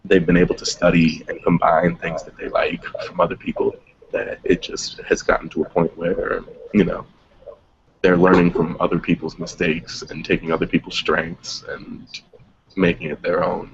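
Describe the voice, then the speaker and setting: A man's voice: low voice, flat delivery, moderate pace, gravelly. A man hosting a casual discussion in an easy conversational style. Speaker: host moderating a music discussion. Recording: dull audio with faint background noise.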